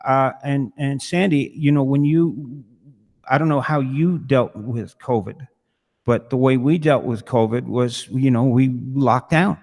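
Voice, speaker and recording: A man's voice: mid-range voice, unhurried, a little mellow. A man, a musician guest, chatting casually over a video call. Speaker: guest, musician with a weekly show. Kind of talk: casual chat. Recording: reverberant room sound.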